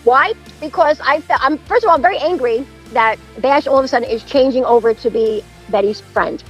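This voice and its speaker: A woman's voice: sits high, fairly quick, dull audio, soft background music. A woman in an informal argument, sounding defensive and combative and cursing freely.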